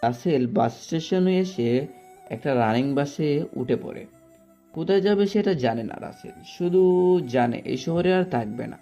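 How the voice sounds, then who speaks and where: A man's voice: fairly high pitch, expressive, normal speed, mellow, faint music behind. A man narrating a scripted romantic story as its storyteller.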